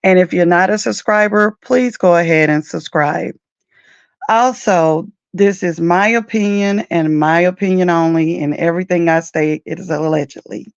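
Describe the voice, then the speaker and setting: A woman with a raspy, deep morning voice, chatting loosely about reality TV as the host of a commentary show. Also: moderate pace, no echo, dull audio. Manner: chatty, loose, with many fillers and asides.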